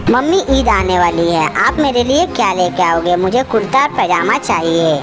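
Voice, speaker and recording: A woman's voice: mid-range voice, expressive, normal speed, music in the background, close to an everyday voice. A woman voicing a small, eager boy sparrow in a cartoon story, asking in a childlike manner.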